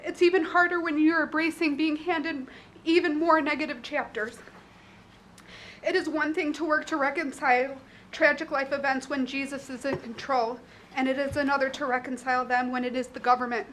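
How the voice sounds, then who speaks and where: Earnest Chicago-accented woman, 30s, high voice, prepared remarks at a podium mic, faint ambience. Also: fairly even intonation, moderate pace, fairly bright tone, a little hiss or hum, rough recording. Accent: Northern US (Chicago). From Chicago, USA. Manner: earnest, emotional. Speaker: public commenter. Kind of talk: prepared public statement. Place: county courtroom.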